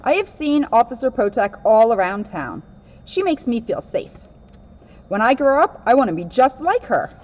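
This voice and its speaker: A woman reads a children's story aloud as a police officer. Her voice sits a little high and moves with very animated intonation. The recording is slightly dull and lo-fi. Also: normal speed. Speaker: police officer reading a picture book. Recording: dull, lo-fi sound.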